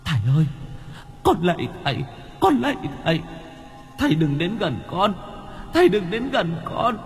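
A man's voice: sits high, very animated, normal speed, soft background music, voice pushed up. A man voicing a young woman tormented by spirit fire, pleading and sobbing in a wailing voice. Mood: begging. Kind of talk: audio horror story. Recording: long reverb hall.

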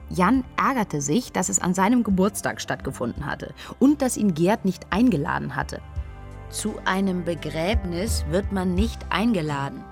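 A young woman tells her story in a radio drama monologue. Her voice sits low with a clear creak, and she is dry, wry and candid about grief and drinking. Soft music runs under her. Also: expressive, moderate pace. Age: young adult. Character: young female student recalling a drunken night of grief, wry. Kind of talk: interview-like monologue in a radio play.

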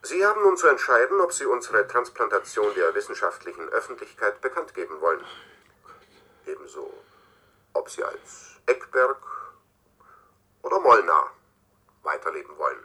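An actor playing a cool, domineering scientist in a science-fiction radio play, delivering a formal, measured, matter-of-fact message. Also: male voice, fairly low pitch, very animated, heavily acted. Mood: detached, explanatory. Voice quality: husky, sharp-edged. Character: cool, domineering male scientist leaving recorded instructions to successor.

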